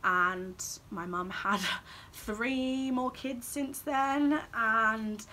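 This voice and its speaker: A woman's voice: a little high, extremely slow, sharp tone, slight background noise. A woman, chatting casually and ramblingly to camera as a vlogger, saying "like" often. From Wales and Australia. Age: 20s. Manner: rambling, informal, heavy use of "like".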